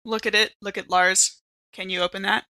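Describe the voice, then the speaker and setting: A woman's voice: mid-range voice, little pitch movement, normal speed, pushed and bright, rough recording. A woman playing a tabletop game, chatting casually and playfully as she rambles through what her character does. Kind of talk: casual in-game role-play chat.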